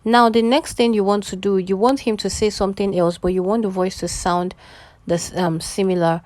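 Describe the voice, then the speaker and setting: A woman's voice: mid-range voice, steady tone, moderate pace, darkish tone, no room sound. A woman hosting a tutorial, explaining step by step and often checking in with short questions. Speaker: tutorial host.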